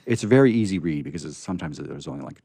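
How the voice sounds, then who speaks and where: A man hosting a radio storytelling show in casual, unscripted chat, rambling and halting in a folksy way. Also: rumbling low, animated, gravelly, dark tone, husky, dry recording.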